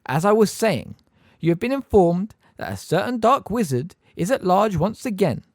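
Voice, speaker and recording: A man reading an audiobook voices a pompous, sweetly girlish witch teacher who talks slowly and with chilly precision. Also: sits high, very animated, dry recording, clean studio sound, pitched above the performer's own voice. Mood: sugary stern. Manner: prim, sweetly girlish, slow and deliberate. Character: sugary, cold, pompous middle-aged witch teacher.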